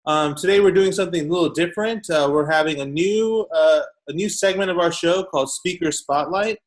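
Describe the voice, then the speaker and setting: A man hosts a tech podcast, with a voice a bit higher than most men's. He introduces his guest and asks questions casually and upbeat, leaning on uhs and ums. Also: brisk. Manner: upbeat, casual, frequent fillers. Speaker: podcast host. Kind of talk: podcast interview.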